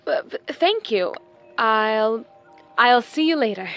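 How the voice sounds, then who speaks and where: A polite young mother of the 1950s for a radio drama. Her voice sits fairly high, with music underneath. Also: animated, takes it slowly, a bit bright. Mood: polite, uneasy.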